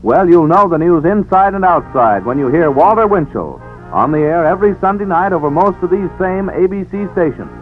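A male announcer delivers a 1940s radio promo in a highish voice. The broadcast sounds thin and tinny, with faint music behind him. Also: expressive, fairly quick.

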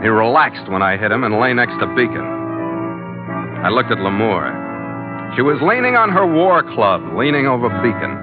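A tinny vintage radio recording, with music behind, carries an actor as a tough male sea captain. His medium-pitched voice moves animatedly through wry, hard-boiled dialogue and narration in a forties adventure serial style. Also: big pitch swings, normal speed, lightly acted. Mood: tense, wry narration. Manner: sardonic, terse. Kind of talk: 1940s-style radio drama acting and narration.

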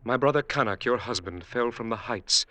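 An actor playing a scheming, coldly triumphant brother-in-law in a formal, declamatory 1950s radio drama style. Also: male voice, mid-range voice, moderate pace, pushed and bright, no echo, close to an everyday voice. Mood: mock solemn. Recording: vintage radio drama recording.

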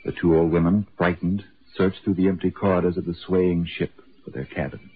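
Muffled old 40s radio, deep guy hosting a horror show, formal measured spooky narration. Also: level tone. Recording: muffled, slightly noisy 1940s radio broadcast.

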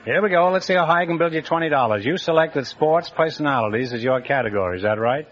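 A man hosting a quiz show, teasing and joking with contestants in quick banter. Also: fairly high pitch, expressive, fairly bright tone. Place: broadcast studio with live audience. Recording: dull old radio broadcast with studio audience.

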